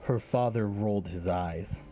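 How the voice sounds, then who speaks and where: A man's voice: fairly low pitch, measured pace, warm tone, faint music behind. A man narrating a scripted fantasy story in an audio drama.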